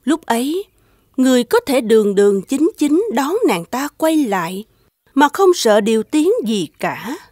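A woman voicing a shrewd, scheming empress in an animated fairy tale, speaking in a measured, courtly way. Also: a little high, dry recording, studio quality. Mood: persuasive. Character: shrewd, suspicious, scheming empress, soft-spoken to her emperor. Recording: music playing behind the voice.

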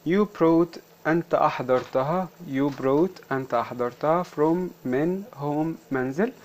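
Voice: mid-range voice, a little slow, a little mellow, rough recording. A teacher with an Egyptian Arabic accent explains a children's workbook, repeating phrases word by word and switching between English and Arabic.

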